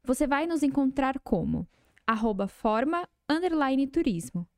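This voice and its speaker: A woman's voice: sits high, sing-song, a little slow, no echo, studio quality. A woman with a Brazilian accent reading a scripted podcast introduction as announcer. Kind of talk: scripted narration.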